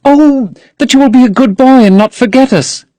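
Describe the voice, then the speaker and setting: A man's voice: very high voice, moderate pace, dark tone, no room sound, voice pushed up. A man reading aloud and voicing a young woman actress, dreamy and infatuated, who gushes rapturously. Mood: pleading. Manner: rapturous, gushing.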